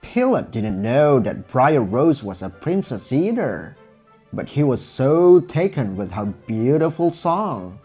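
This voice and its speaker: A male storyteller reads a children's fairy tale from a script. His delivery is unhurried, with a mid-range voice that moves expressively up and down. Faint music plays behind him. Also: medium pitch, very animated, a little mellow, husky.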